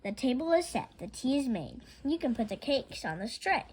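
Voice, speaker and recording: An exasperated lady of the house from a children's storybook, acted out by a woman reader. Her voice is high and very mobile in pitch, with an emphatic, long-suffering delivery. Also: very animated, normal speed, a little mellow, slight background noise. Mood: brisk hostess.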